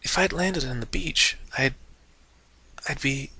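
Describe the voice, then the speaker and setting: A man acts out a young female pony from a storybook, earnest and fragile. The voice is lifted and breathy, stumbling haltingly over its phrases in a dry recording. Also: mid-range voice, sharp tone, dry recording, voice pushed up, heavily acted. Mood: frightened, confused.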